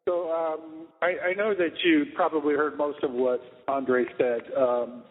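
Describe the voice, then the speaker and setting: A man asking questions as a reporter on a conference call, halting and searching for his words. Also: fairly high pitch, fairly even intonation. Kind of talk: hesitant phone interview questioning. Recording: tinny phone-line conference call with reverb.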